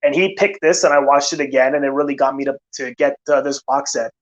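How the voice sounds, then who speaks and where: A man in the middle range of pitch talks loosely to his live viewers, stumbling through fillers and restarts. He sounds worn out, his voice getting tired. The sound is a little dull and lo-fi. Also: steady tone, fast. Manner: rambling, many "uh" fillers and restarts. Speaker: film channel host. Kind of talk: casual live-stream chat.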